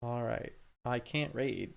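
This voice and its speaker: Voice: mid-range voice, takes it slowly, gravelly. A video game streamer chatting casually and crudely during a livestream, with a conversational, loose delivery. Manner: crude, swearing. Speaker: streamer reading game dialogue aloud.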